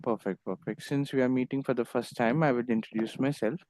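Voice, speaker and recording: A man with an Indian English accent leads a tutoring session in a measured, formal way, encouraging his student. His voice sits at an ordinary pitch and sounds dry and close, with no room around it. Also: steady tone, a little mellow, dry recording. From Andhra Pradesh, India. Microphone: video call.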